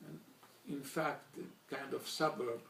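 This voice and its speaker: An older man, a native German speaker from Slovakia, giving a measured formal talk with pauses and restarts. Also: medium pitch, steady tone, gravelly, pushed and bright, roomy sound, distant mic, a little hiss or hum. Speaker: speaker recounting childhood memories.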